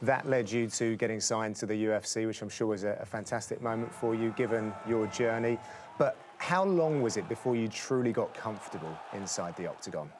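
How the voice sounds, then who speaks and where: A man's voice: lowish voice, fairly quick, noisy background. A man interviewing a fighter, a commentator asking friendly, enthusiastic questions.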